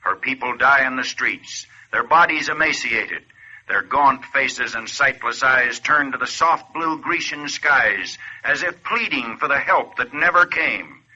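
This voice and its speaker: A man's voice: medium pitch, lively intonation, measured pace, pushed and bright, slightly rough, exaggerated voice. A man playing a grave wartime announcer, delivering solemn, declamatory 1940s radio narration. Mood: somber indignation. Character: grave, forceful male wartime appeal announcer. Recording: muffled vintage radio recording.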